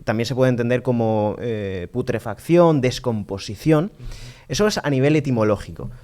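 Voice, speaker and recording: A man's voice: mid-range voice, moderate pace, dark tone. A man hosting a podcast, a lawyer who leads the talk in a friendly, explaining way. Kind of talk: casual podcast chat.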